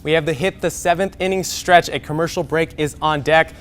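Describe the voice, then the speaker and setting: A man's voice: a little high, steady tone, brisk, a bit bright, clear, resonant tone. A young man anchoring a scripted TV sports newscast. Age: young adult.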